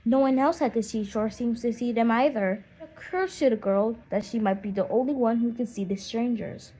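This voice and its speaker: A woman reading a children's audiobook in an expressive, animated storytelling manner. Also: on the high side, moderate pace, husky, rough recording. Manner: animated storytelling with acted character voices. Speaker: audiobook narrator.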